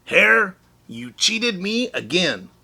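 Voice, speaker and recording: Man acting a grumpy, forceful storybook bear. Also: sits high, very animated, slow, gravelly, husky, pitched above the performer's own voice, full character voice. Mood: aggrieved. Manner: scowling, growling complaints, hollering. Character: grumpy, lazy male bear, indignant at being cheated. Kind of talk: children's storybook read-aloud.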